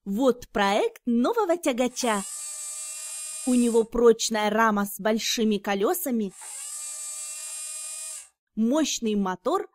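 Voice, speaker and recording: Lively high-voiced woman narrating for kids. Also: slow, long pauses, a little hiss or hum. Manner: simple, clear explanatory storytelling. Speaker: narrator of children's educational cartoon. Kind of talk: scripted narration for young children. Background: background music.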